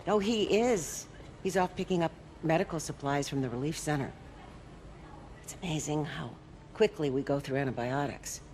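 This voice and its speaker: A woman's voice: sits low, moderate pace, darkish tone, slight background noise, lightly acted. A woman playing a kindly, stubborn older aunt in a video game, motherly and reassuring. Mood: warm, weary. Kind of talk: naturalistic video game acting.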